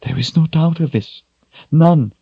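A man's voice: fairly low pitch, takes it slowly, slightly rough, dry recording, lo-fi sound, voice pushed up. A man voicing a commanding sorceress, grave and full of sorrow, in a fantasy audiobook. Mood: grave, insistent.

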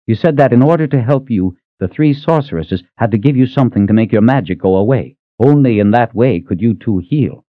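A man voicing a big gruff middle-aged male coachman who sounds weary and patient. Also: mid-range voice, animated, fairly quick, hardly any pauses, no room sound, muffled recording, pitched above the performer's own voice, natural voice.

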